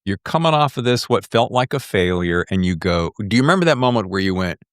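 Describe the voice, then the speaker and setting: A man's voice: lowish voice, very animated, fast talker, no echo, studio quality. A man in his sixties hosting a podcast, asking questions in a casual, enthusiastic, dramatizing way. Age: 60s. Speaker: podcast host. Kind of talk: casual, animated interviewing.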